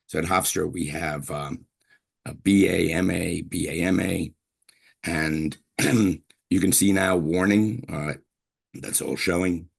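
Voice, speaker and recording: Older male professor from brooklyn, very low gravelly voice, husky, rambling lecture with throat clearing. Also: fairly even intonation, slow pace. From Brooklyn, New York. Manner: chatty, digressive, frequent throat clearing and fillers. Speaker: linguistics professor giving a lecture. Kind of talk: university guest lecture.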